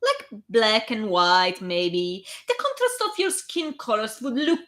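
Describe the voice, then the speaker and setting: An Italian-accented woman voicing a flamboyant young male wizard photographer, with gushing, drawn-out enthusiasm. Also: fairly high pitch, huge pitch swings, a bit bright, full character voice.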